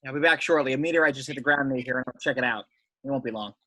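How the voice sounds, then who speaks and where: A man's voice: medium pitch, racing, bright, forceful tone, dry recording. A man reading the part of an eager, dutiful young rebel pilot in an amateur table read. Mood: businesslike.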